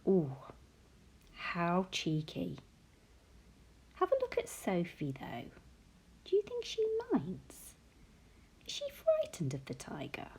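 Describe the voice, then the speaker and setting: A woman reads a children's storybook aloud in a slow, breathy voice. She is expressive, moving widely in pitch, and speaks directly to young listeners with questioning asides. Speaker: teacher reading a picture book aloud.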